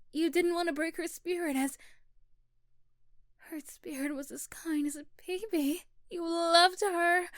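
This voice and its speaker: Casting: female ASMR narrator, high voice, unhurried dramatic storytelling, hushed delivery, very dry sound. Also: no echo. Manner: hushed, dramatic, often dropping to a whisper. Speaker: narrator of audio roleplay. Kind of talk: ASMR scripted second-person story narration.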